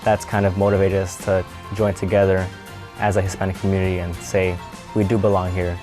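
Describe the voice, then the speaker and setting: A man with a deep, flat voice speaks steadily and with pride in a documentary interview. music plays clearly behind him. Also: brisk.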